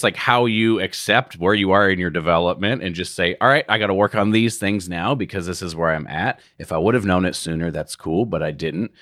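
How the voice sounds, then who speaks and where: A man's voice: sits low, a bit bright, no echo, clean studio sound. Reflective man in his thirties interviewing. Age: 30s. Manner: reflective, tags sentences with "right?". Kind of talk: conversational podcast interview.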